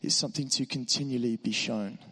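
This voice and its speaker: Male preacher, slightly hoarse, encouraging and informal, mid-range voice, ringing church hall reverb. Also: medium pitch, fairly even intonation, fairly quick. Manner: warm, encouraging, informal with asides. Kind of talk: church sermon.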